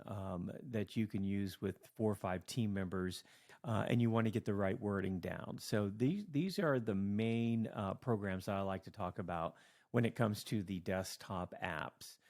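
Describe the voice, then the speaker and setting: Middle-aged male teacher, worn out, fry in a lowish voice, rambling vlog delivery. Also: a little mellow. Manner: rambling, many fillers. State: tired, yawning late at night. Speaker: teacher and video creator. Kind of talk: casual software walkthrough.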